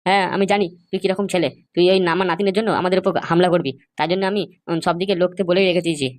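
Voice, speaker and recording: Woman voicing a fast-talking angry old grandfather. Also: fairly low pitch, steady tone, close to an everyday voice. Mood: threatening. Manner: scolding, threatening. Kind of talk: amateur comedy cartoon dub.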